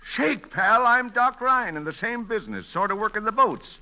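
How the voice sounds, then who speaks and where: A tipsy, blustering con man in a 1940s radio comedy. His voice is high and piercingly bright, swinging widely as he stammers out his lines. The vintage recording is dull and lo-fi. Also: animated, normal speed, exaggerated voice. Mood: flustered, chummy. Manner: stammering, hesitant, interjecting bursts.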